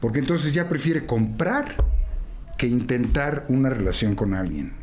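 A man's voice: medium pitch, measured pace. A middle-aged man from Mexico with a Mexican accent, a guest talking casually and animatedly in a small studio at a desk mic. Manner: animated, gestures while talking, laughs often. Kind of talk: casual interview chat.

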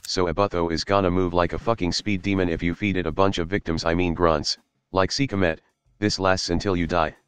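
A man reading a scripted voice-over review of a tabletop game, casual and swearing now and then. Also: deep voice. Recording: light guitar music behind.

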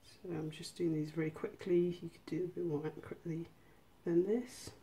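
Low, mellow woman giving a cooking tutorial. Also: fairly even intonation, brisk. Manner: informal, chatty step-by-step explaining. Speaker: host of a miniature cooking channel.

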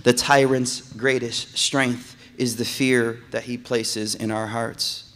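A man giving a Friday sermon, moving from calm storytelling to loud, emphatic preaching and repeating phrases for emphasis. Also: mid-range voice, takes it slowly. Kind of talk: impassioned sermon. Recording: echoey hall reverb.

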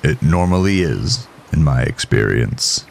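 A man voicing a gruff, stern, impatient small-town doctor with formal, precise speech in an audio horror story. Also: rumbling low, sing-song, measured pace, slight background noise, full character voice. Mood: formal, measured.

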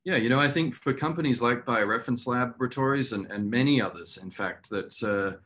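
A middle-aged man answering as a company executive in a webinar interview, speaking earnestly and in a measured, halting way. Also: mid-range voice, fairly bright tone. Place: small indoor room, video call.

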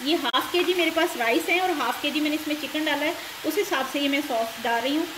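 High-voiced woman cook over loud sizzling. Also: fast talker. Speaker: host of a home cooking show. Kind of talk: recipe instruction voice-over. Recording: loud frying sizzle and stirring noise. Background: sizzling pan and stirring.

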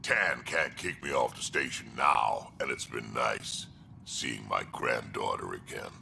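An actor playing a gruff older alien grandfather with a deep gravelly growl, game audio with faint ambience. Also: unhurried, pushed and bright, slight background noise, full character voice. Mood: gruff fondness.

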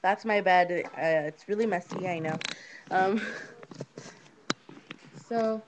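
A young woman giving a casual, rambling home tour on a webcam, informal and a little hesitant as she goes. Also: slow pace. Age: teenager to young adult. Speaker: vlogger. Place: rooms of a small house. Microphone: handheld webcam, moved around. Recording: noisy low-quality webcam audio.

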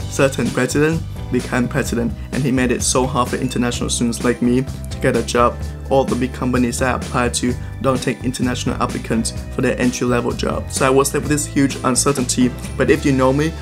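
A young man from Vietnam chats to a handheld camera in a relaxed vlog. His pitch stays level and even, sitting mid-range for a man. Background music plays clearly beneath his voice. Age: 20s. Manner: chatty, animated storytelling to camera. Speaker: vlogger. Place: apartment room indoors, then city streets and a shop.

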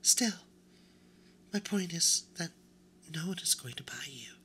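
A man voicing a vain, haughty female china doll in a high-pitched, breathy whisper. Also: lively intonation, takes it slowly, bright, forceful tone, a little hiss or hum, exaggerated voice. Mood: snooty. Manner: snooty and scornful.

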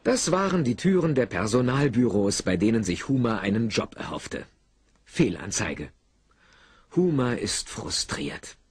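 A man's voice: lowish voice, sing-song, unhurried, husky. A man narrating a radio play in a theatrical, wry, colloquial storytelling style.

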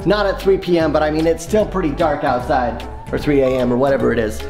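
A man's voice: on the high side, fairly even intonation, fast talker. An American man in his thirties narrating a casual home tour to camera in a chatty, exclaiming way. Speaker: vlogger dad giving a tour. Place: inside a family house. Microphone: handheld camera. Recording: loud music under handheld home video. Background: loud music.

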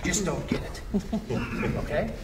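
A man at a table read, reading the lines of a gruff, scolding school official. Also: high-pitched, a little slow. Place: conference room. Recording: echoey room with crowd noise.

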